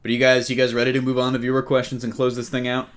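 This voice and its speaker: A man's voice: mid-range voice, monotone, rapid-fire. A man hosting a casual panel chat about comics, with a wry, joking way of talking. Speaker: podcast host.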